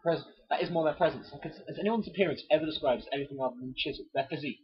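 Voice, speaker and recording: A man's voice: on the high side, lively intonation, rapid-fire. A man chatting casually and jokingly as a co-reader, often stammering and restarting his phrases. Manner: stammering, repeats phrase starts. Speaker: co-reader and commentator. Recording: dull, muffled lo-fi recording.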